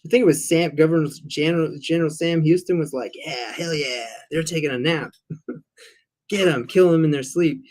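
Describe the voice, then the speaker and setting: Chatty man with a higher voice. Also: moderate pace. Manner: chatty storyteller, animated retelling. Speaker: livestream co-host. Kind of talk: casual group chat.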